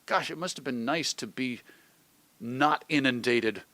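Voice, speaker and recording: A man's voice: mid-range voice, measured pace, sharp tone, dry recording. An American man giving thoughtful, articulate answers as an interview guest, often reaching for examples. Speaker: interview guest, old-time radio host and magazine editor.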